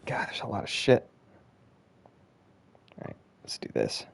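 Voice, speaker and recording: A man streaming a video game with casual commentary, blunt, sweary and given to exasperation. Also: a little slow. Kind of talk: casual gaming commentary with reading of on-screen text.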